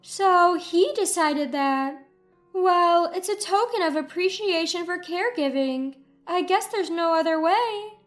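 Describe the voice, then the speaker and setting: A woman voicing a spiteful, self-centered older mother-in-law in a narrated family story, with a shrill, indignant manner. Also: animated, a little slow, faint music behind. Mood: grudging.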